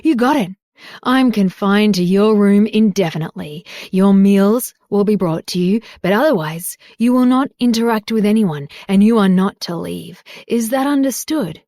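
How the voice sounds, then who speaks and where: A woman voicing an enraged middle-aged father who shouts with contempt. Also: medium pitch, lively intonation, normal speed, no echo, studio quality, natural voice. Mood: furious.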